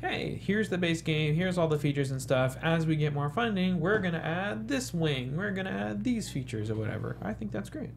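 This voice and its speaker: A man's voice: fairly high pitch, expressive, normal speed, gravelly, few pauses, a little hiss or hum. A man co-hosting a casual panel, giving opinions in a sceptical, grumbling way. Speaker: panel co-host.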